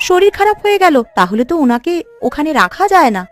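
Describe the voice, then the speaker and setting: An actress voicing a caring, outspoken village housewife in a cartoon. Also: female voice, high voice, sing-song, fast talker, soft background music. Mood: concerned. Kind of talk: cartoon voice acting.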